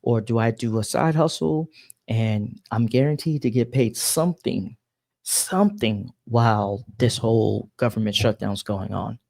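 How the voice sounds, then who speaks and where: A man gives casual news commentary in a mid-pitched voice. He sounds exasperated and emphatic, often rewording as he goes. The sound is dry, with little room in it. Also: expressive, measured pace, vocal fry, a little mellow, no echo. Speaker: commentary show host.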